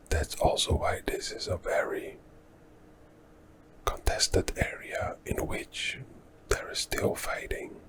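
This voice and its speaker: A Dutch man, a native Dutch speaker, whispering softly through a relaxed ASMR history walkthrough and thinking aloud as he goes. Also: low-quality recording. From Netherlands. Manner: soft unvoiced whisper, unhurried, thinking aloud with fillers. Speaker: ASMR history channel host.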